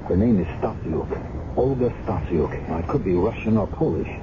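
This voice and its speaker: An actor playing a dogged, guilt-ridden police sergeant in an earnest, insistent 1970s radio drama style. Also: male voice, sits low, expressive, normal speed. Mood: determined. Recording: muffled, noisy 1970s radio recording.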